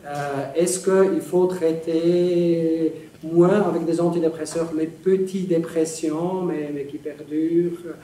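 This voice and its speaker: A middle-aged man, a professor answering audience questions in an academic, halting way with many pauses, in a large lecture hall. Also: a little high, steady tone, warm tone. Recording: echoey hall, distant, some noise.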